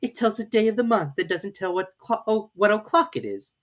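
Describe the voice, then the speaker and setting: Woman as proper, high-voiced curious young girl. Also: expressive, a little fast, close to an everyday voice. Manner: proper, hesitant, earnest. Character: polite, puzzled, curious young storybook girl.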